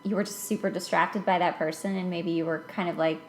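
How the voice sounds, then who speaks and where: A woman's voice: fairly low pitch, fairly even intonation, fast talker. A woman hosting a podcast in a casual, informal conversational way, saying "like" often. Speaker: podcast host. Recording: roomy echo, clear background noise.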